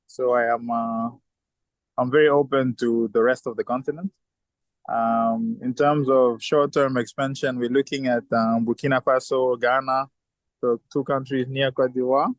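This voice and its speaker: A man's voice: medium pitch, level tone, normal speed, no echo, low-quality recording. Engineer guy answering interview questions, keeps restarting his sentences, dry close sound. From southern Africa. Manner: thoughtful, frequent restarts and fillers. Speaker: chemical engineer and company founder.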